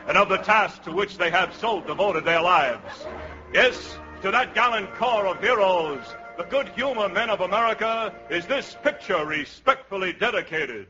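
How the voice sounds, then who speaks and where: An actor playing a solemn, pompous narrator in a parody of a war film, grave and grandiose, in 1950s radio style. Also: male voice, high voice. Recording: dull 1950s radio recording, music behind.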